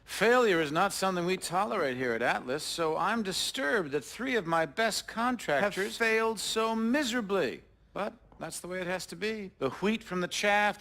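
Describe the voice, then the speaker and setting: An actor playing a menacing military contractor boss in a video game, a male villain who lectures with measured condescension. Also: high-pitched, sharp tone, exaggerated voice. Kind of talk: scripted cinematic game acting. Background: dramatic score.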